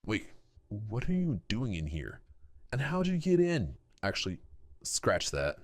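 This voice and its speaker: Man as a very deep, deadpan soldier. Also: unhurried, no room sound, studio quality, exaggerated voice. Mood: wary, terse. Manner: terse, deadpan. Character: gruff, sardonic military man. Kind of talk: audio drama dialogue.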